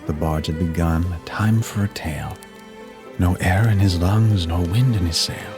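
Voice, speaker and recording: A man storyteller reading a rhyming Christmas poem in a solemn, measured way. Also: deep voice. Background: music.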